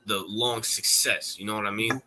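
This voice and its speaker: A man in his early twenties in a casual sports debate, brash and excitable and swearing freely. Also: on the low side, moderate pace, background noise. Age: 20s.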